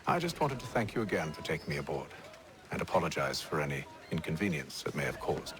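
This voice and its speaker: A man playing a poised, courteous English gentleman in a video game, with an English accent and suave, formal manner. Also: very deep voice, very animated, quick pace, slight background noise, heavily acted. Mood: polite. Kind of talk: video game cutscene acting.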